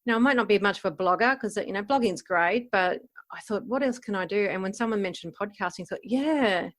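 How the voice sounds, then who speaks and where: A woman's voice: mid-range voice, a little fast. A woman of about fifty answering interview questions as a life coach, reflective and informal, often voicing her own thoughts as quotes. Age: around 50.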